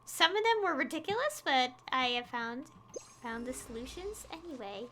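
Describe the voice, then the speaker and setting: A woman's voice: sits high, lively intonation, moderate pace, fairly bright tone, slight background noise. A woman game streamer chatting casually on a livestream in a rambling, conversational way. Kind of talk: casual livestream chat over gameplay.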